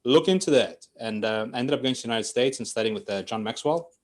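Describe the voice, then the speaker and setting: Man in his 40s, from Greece, chatty, laughs a lot, mid-pitched voice. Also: lively intonation, fast talker. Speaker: podcast guest, coach and trainer. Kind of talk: casual storytelling interview.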